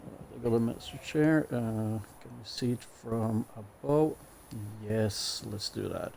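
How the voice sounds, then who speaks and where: A man's voice: mid-range voice, measured pace, gravelly, a little mellow, a little hiss or hum. A man with a Norwegian accent gives easygoing, chatty commentary as a game streamer, with self-mocking jokes. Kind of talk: casual commentary while playing a building game.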